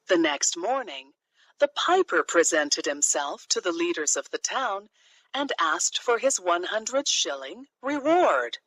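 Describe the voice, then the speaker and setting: Slow, animated woman storyteller. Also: fairly low pitch, dry recording, rough recording. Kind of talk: scripted children's fairy tale narration.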